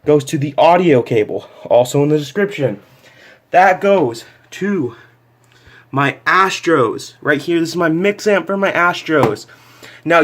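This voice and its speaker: A man's voice: a little high, a little mellow. A man giving a casual, unscripted hands-on tech tutorial, explaining step by step and often repeating himself. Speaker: tutorial presenter.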